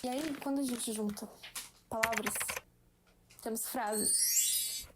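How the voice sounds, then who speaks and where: A young Brazilian woman giving a slow, patient, playful lesson at home to a friend. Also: on the high side, fairly even intonation, heavy background noise. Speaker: language teacher for a friend. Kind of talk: casual home-made lesson.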